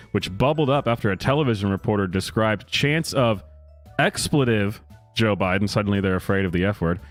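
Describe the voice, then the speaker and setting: A man reading a news story aloud as a commentary host, mock-dramatic, with sarcastic asides and theatrical pauses. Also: fairly low pitch. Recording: music playing behind the voice. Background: music.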